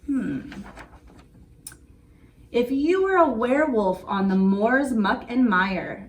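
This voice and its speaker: A woman hosting story time and crafts for young children, talking in a simple, sing-song way. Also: medium pitch. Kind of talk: picture-book read-aloud and craft demonstration for young children. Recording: noisy, distant microphone.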